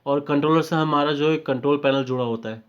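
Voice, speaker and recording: Indian male technical trainer, slightly high voice, informal explainer who repeats steps and drops in English terms; muffled lo-fi recording. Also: fast talker, clean tone. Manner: explanatory, repetitive, often checking in with short confirmations. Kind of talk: informal step-by-step technical tutorial. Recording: dull lo-fi microphone sound.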